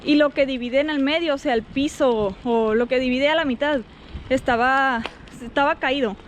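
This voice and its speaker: High-pitched young Mexican woman vlogging casually. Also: normal speed, a little hiss or hum. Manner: chatty, colloquial, acts out reported dialogue. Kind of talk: casual vlog storytelling.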